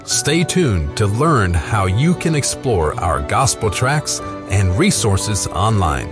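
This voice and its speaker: A man introducing a gospel radio program in a slow, deliberate announcer delivery. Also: lowish voice, sing-song. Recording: announcement over music bed.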